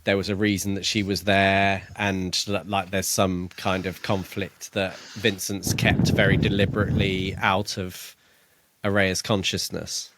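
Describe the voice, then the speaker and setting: A man co-hosting a casual book discussion podcast, thinking aloud in a tentative, halting way. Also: low-pitched, little pitch movement, a bit bright, a little hiss or hum. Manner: tentative, musing, halting delivery. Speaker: podcast co-host.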